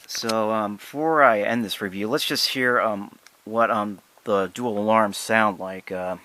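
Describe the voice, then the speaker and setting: A young man giving a casual, unscripted product demonstration in a halting, hesitant, apologetic way. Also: fairly low pitch, sharp tone, low-quality recording. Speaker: amateur product reviewer.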